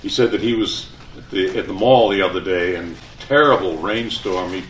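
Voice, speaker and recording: An older man with a fairly low voice speaks live to a gathering, telling anecdotes and reminiscing. He is recorded at a distance in a very echoey room. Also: normal speed, a bit bright. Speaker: retired radio announcer speaking to residents. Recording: echoey room, distant mic, faint noise.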